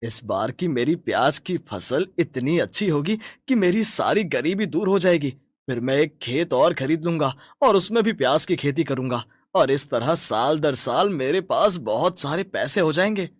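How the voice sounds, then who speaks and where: A man's voice: on the high side, lively intonation, normal speed, dry recording, clean studio sound. A man voicing a greedy, boastful, stubborn village farmer and husband in a cartoon moral story, talking in a rustic, dismissive way. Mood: smug dreaming.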